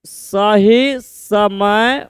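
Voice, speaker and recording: A male teacher, explaining idioms for an exam and repeating each meaning slowly as he writes. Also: high-pitched, smooth, dry recording, clean studio sound. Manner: mixes Hindi explanation with English example sentences. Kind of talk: exam-prep idiom lesson, writing on a board.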